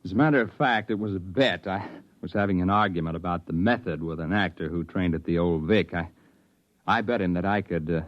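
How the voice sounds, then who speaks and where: A man's voice: low voice, a little fast, no room sound, lightly acted. An American actor playing a wry middle-aged man caught up in danger, in a 1950s radio drama style. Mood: wary, hesitant. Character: wry forty-year-old actor turned amateur investigator, uneasy captive. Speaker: actor in the lead role, also narrating. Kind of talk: 1950s radio drama acting and first-person narration. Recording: muffled 1950s radio recording with music.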